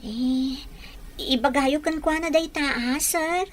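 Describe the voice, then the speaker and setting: A woman's voice: sits high, slow, slight background noise. A woman acting as a humble, deferential household maid and devoted mother in an Ilocano audio drama. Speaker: drama actress.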